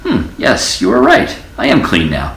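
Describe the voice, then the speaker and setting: Man doing a bold little storybook mouse, high-pitched. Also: wildly swooping pitch, moderate pace, vocal fry, fairly bright tone, roomy sound, exaggerated voice. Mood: agreeable. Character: small bold male mouse, demanding, later agreeable.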